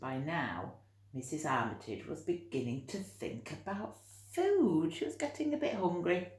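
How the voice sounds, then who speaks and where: Expressive low-voiced woman storyteller, echoey room. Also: unhurried. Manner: expressive, gentle explaining for young children. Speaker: storyteller reading a picture book to children. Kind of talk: animated read-aloud storytelling with chatty asides. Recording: roomy, echoey room sound.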